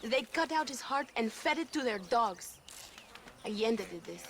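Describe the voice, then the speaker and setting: A woman's voice: fairly high pitch, expressive, measured pace, fairly bright tone, a little hiss or hum. An actress playing a passionate, earnest young Mexican woman revolutionary in a video game, speaking formally and emphatically with a Mexican accent. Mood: grieving, pleading. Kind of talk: dramatic video game cutscene acting.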